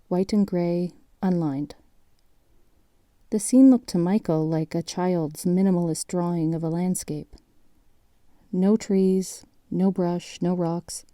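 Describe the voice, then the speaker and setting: A woman narrating an audio drama in a measured, literary storytelling manner. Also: on the low side, mellow, no echo, clean studio sound.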